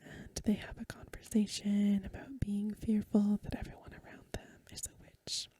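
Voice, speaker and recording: A woman reading aloud in a hushed, measured, breathy whisper, in the soft-spoken style of a bedtime ASMR recording. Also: mid-range voice, monotone, no room sound.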